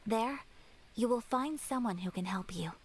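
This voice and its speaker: A woman voicing a composed, courteous young noblewoman in a video game, speaking in a formal, measured way. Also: a little high, a crowd in the background, lightly acted. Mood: calm.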